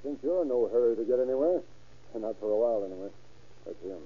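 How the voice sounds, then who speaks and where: A man's voice: medium pitch, lively intonation, quick pace. An actor playing a skeptical lawman in an old radio crime drama, questioning with dry, needling pressure. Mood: probing, patient. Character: skeptical, probing male lawman questioning a suspect. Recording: tinny vintage radio recording.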